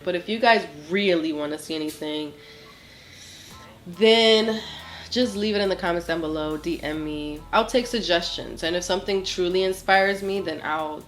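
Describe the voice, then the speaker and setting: A woman in her twenties with a nasal voice from a cold, chatting through a makeup tutorial in a small home studio, apologetic and full of ums and likes. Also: on the low side. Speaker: beauty vlogger. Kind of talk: casual makeup tutorial chat.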